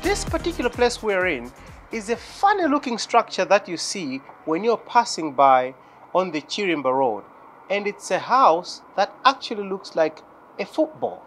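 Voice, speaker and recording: High, lively-voiced teasing male TV host. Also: normal speed, soft background music. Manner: playful, teasing, laughs often. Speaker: TV show host. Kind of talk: lively TV interview. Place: living room of a house. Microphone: lapel mic.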